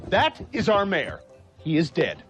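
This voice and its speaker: An indignant film townsman, high and lively voice. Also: unhurried, dull audio, soft background music, exaggerated voice. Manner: indignant, emphatic.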